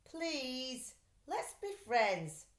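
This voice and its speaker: A woman voicing a caring, eager young boy in a children's storybook, earnest and expressive. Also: sits high, extremely slow, pitched above the performer's own voice, heavily acted. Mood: pleading, concerned. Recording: roomy, echoey sound.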